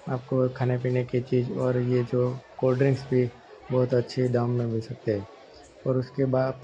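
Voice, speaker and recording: Male vlogger, mid-pitched and flat, hesitant casual narration on lo-fi audio. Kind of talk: casual shopping vlog narration. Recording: dull lo-fi audio with background noise.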